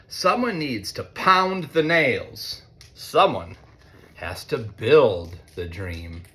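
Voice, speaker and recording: An American man, a teacher, reads a picture book aloud to children in an expressive way, with conversational asides. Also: mid-range voice. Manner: expressive, deliberate when reading, conversational in asides. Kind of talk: read-aloud storytelling with chatty asides to children.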